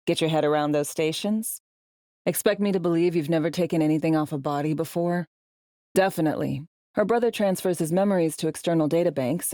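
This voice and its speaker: A woman's voice: low-pitched, mellow, no echo, close to an everyday voice. A woman acting a world-weary undercover agent in a video game, with a dry, sardonic, conversational delivery. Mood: casual, candid. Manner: dry, sardonic, slangy and clipped. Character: world-weary, wry female undercover spy in a dystopian city.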